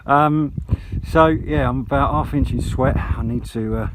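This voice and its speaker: A man's voice: mid-range voice, measured pace. A British man chatting casually outdoors as a vlogger and portable radio operator. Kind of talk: casual outdoor vlog talk and radio chat. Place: outdoors on a sunny hilltop. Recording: outdoor recording with clear background noise.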